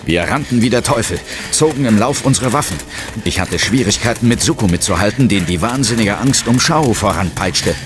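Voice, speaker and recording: An actor playing a resolute ghost hunter who tells his own adventure in a measured, suspenseful audio drama style. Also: fairly low pitch, expressive, lightly acted. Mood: urgent, suspenseful. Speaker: first-person narrator and lead character. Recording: loud dramatic music and effects behind.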